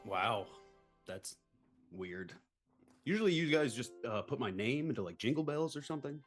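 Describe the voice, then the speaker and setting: A man's voice: medium pitch, animated, moderate pace, soft background music, natural voice. A man acting a baffled, brusque businessman at a table read of a comedy script, dry and hesitant. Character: baffled, brusque businessman father in his office.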